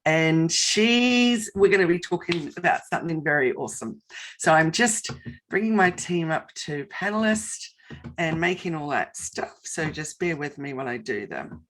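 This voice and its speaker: A woman's voice: low voice, animated, moderate pace, fairly bright tone. Bubbly woman hosting a video call. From Australia. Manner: bubbly, enthusiastic. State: slightly croaky voice. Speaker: host. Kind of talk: casual live interview.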